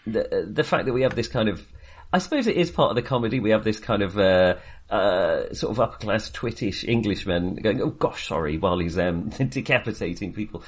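A man's voice: fairly low pitch, expressive, fairly quick, husky. A man co-hosting a casual film discussion, rambling and often correcting himself as he goes. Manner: hesitant, rambling, self-correcting. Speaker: podcast co-host reviewing films.

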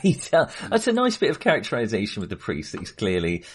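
A middle-aged British man with a low-pitched, distinctly husky voice. He chats casually about films as a podcast co-host, often pausing and groping for the right word. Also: huge pitch swings. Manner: hesitant, searching for words. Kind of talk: casual film discussion.

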